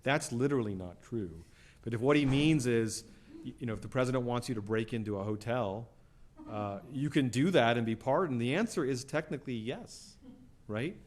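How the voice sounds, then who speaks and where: A man's voice: medium pitch, moderate pace. A middle-aged man lecturing on law answers questions from the floor in a lecture hall. He talks in a loose, chatty way and keeps checking in with the audience as he goes. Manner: conversational, frequent "right?" and "you know".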